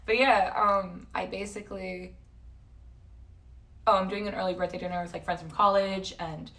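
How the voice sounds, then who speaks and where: A woman's voice: mid-range voice, fairly even intonation, moderate pace, bright, forceful tone, recorded from a distance. A woman in her early twenties with a North American accent, chatting casually to livestream viewers in a rambling, slangy, self-interrupting way. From San Jose, California and Toronto, Canada. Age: early 20s.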